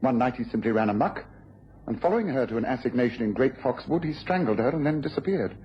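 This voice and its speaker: An actor playing a courteous, astute British gentleman detective in an old radio drama, precise and given to musing aloud. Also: mid-range voice, lively intonation, fast, close to an everyday voice. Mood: musing, intrigued. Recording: muffled vintage radio recording with hiss.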